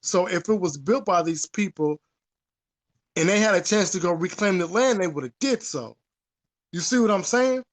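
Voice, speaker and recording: A man's voice: sits high, expressive, moderate pace. A man talking casually and informally about history, repeating phrases for emphasis and swearing now and then.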